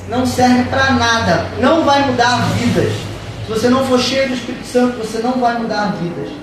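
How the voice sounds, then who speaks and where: High-voiced woman preaching in an echoey church. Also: fairly quick. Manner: emphatic, repetitive preaching. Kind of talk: sermon. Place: church hall. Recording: echoey church hall from far off, noisy.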